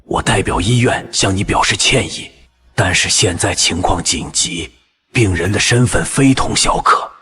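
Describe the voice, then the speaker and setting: A man's voice: deep voice, normal speed, a bit bright, slight background noise. A man playing a stern middle-aged hospital director who speaks with commanding authority. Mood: formal.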